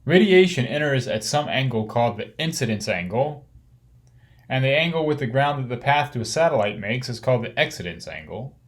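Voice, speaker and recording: A man reading a technical course lecture in a measured, explanatory way. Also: medium pitch.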